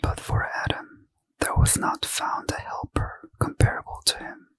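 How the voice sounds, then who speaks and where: A man reading scripture as a bedtime ASMR story in a breathy, hushed, careful whisper, close to the mic. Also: dry recording. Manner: slow, careful, hushed delivery. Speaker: ASMR reader.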